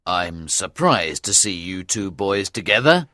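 A man's voice: sits low, very animated, unhurried, pushed and bright, no echo, studio quality, full character voice. A man doing a stern, commanding knight.

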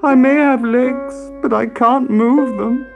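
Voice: high voice, little pitch movement, lo-fi sound, pitched above the performer's own voice. A narrator voicing a mournful old talking piano in a children's storybook, wailing and groaning plaintively. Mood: distressed. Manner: plaintive, drawn-out.

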